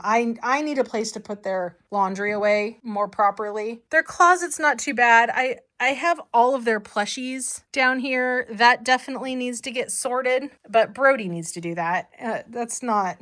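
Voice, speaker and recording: A hoarse woman vlogging casually as she walks through her family house, chatty and rambling, laughing now and then. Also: medium pitch, a bit bright. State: hoarse from a long cough.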